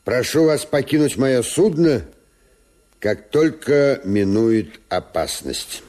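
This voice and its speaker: An actor playing a stern, upright ship's captain in a radio drama, curt and formal. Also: male voice, mid-range voice, expressive, slow pace, a little mellow. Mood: cold, stern. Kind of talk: Soviet radio drama acting.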